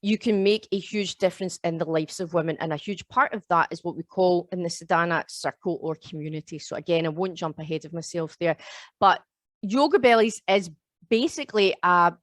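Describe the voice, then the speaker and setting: A woman's voice: lowish voice, a little fast, a bit bright, dry recording, studio quality. A woman in her thirties with a Glasgow accent, leading a live online yoga teacher training and checking in often with her students. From Scotland. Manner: chatty, keeps checking in with "okay?" and "all right?". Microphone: video call.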